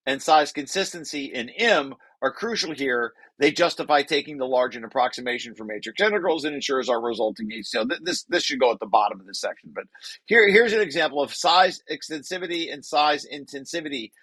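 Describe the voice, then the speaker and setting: A man with a fairly high, bright and sharp voice presents a technical paper in a very dry recording. He reads passages out and explains them informally, often restarting and repeating words as he corrects himself. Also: creaky, husky, no room sound.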